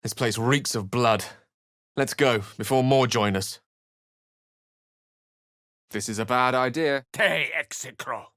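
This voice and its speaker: A man's voice: mid-range voice, slow pace, pauses often, a bit bright, no room sound, clean studio sound. An actor playing a learned, wry male human wizard in a fantasy video game, speaking in an articulate, theatrical and bookish way. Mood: commanding incantation. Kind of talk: fantasy game character lines and narration.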